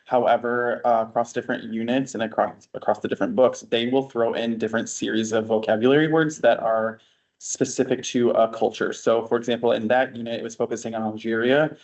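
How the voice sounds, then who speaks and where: A man's voice: medium pitch, fairly even intonation, a little fast. A man who teaches French, steadily explaining course materials at a school board workshop.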